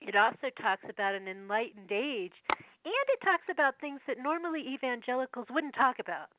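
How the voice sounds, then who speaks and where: A woman with a cold, her voice going, speaking as a guest over the phone and reading old verses slowly between chatty asides. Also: fairly high pitch, lively intonation. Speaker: guest researcher. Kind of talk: phone interview, reading prophecy verses aloud. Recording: tinny phone line.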